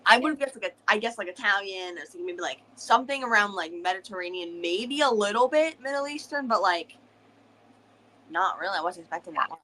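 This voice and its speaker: Woman co-host, bright voice, Northern US accent with a bit of Southern, agreeable. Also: mid-range voice, expressive, brisk, a little hiss or hum, rough recording. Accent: US Northern with a slight Southern touch. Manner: agreeable, frequent "yeah", rambling. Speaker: book club co-host. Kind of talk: casual livestream chat.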